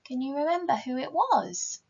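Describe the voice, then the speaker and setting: A woman's voice: high voice, normal speed, vocal fry, dull audio. A woman, a primary teacher reading a story to young children and asking them questions. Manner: expressive, addressing young children. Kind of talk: children's story read-aloud with questions to listeners.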